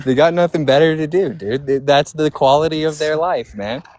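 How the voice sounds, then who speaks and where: A man's voice: fairly high pitch, normal speed, darkish tone, dry recording. A man chatting casually on a live stream as a panelist, full of bro-and-dude slang.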